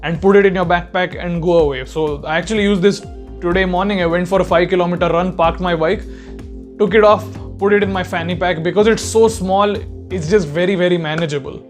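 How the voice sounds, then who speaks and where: A young man giving a casual, explanatory product review in an informal way. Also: high-pitched. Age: young adult. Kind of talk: casual spoken review to camera.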